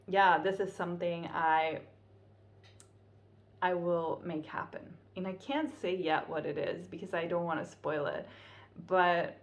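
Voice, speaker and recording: A woman whose first language is Finnish, talking casually to camera in her home kitchen, chatty and often laughing. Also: lowish voice. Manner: chatty, laughs often, thinks aloud with fillers. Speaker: vlogger. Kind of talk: casual vlog talk to camera.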